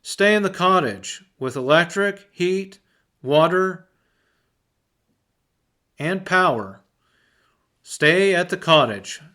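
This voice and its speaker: Man putting on a raised-pitch, showy ad announcer. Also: bright, forceful tone, heavily acted. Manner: exaggerated, drawn-out salesman delivery. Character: cheesy male radio announcer selling local businesses. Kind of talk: advertisement read.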